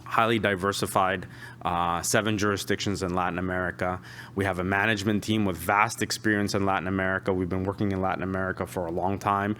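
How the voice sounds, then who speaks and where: A man from Peru with Spanish as his first language sums up the finances for investors with confidence. His voice is on the low side. Faint room ambience sits underneath. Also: level tone, quick pace, fairly bright tone, slight background noise. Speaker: finance executive presenting. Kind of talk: investor presentation.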